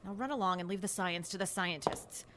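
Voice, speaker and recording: An actress playing a stern, bossy scientist in a radio drama, curt and condescending. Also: female voice, lowish voice, a little fast, very noisy, natural voice. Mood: dryly condescending.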